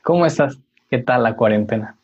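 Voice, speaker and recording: A husky-voiced man hosts an interview podcast, asking questions conversationally. His pitch sits mid-range, and the recording is dull and lo-fi. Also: brisk, darkish tone. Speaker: podcast host. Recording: dull, lo-fi audio.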